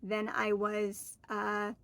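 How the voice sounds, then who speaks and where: A woman's voice: mid-range voice, monotone, extremely slow, creaky, fairly bright tone, no echo. A woman in her forties from Oregon, chatting on her own to camera in a rambling, friendly way. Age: 40s. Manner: chatty, rambling, friendly, with fillers and self-corrections. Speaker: vlogger. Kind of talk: casual solo chat to camera.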